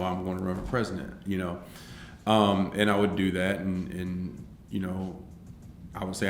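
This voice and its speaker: A man's voice: fairly low pitch, roomy sound, slight background noise. A man in his forties in a filmed interview, telling his life story in an earnest, rambling way with many restarts. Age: 40s.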